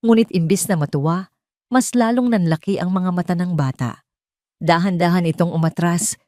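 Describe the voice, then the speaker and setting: A woman reading a scripted dramatic story as narrator, in a measured, suspenseful way. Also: lowish voice, darkish tone, no echo, studio quality. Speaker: narrator of audio drama stories.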